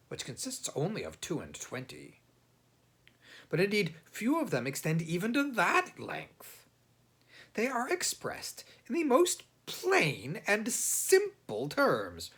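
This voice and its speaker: A man narrating a classic satirical novel as an audiobook reader, in a theatrical, emphatic manner with dramatic pauses. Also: fairly high pitch.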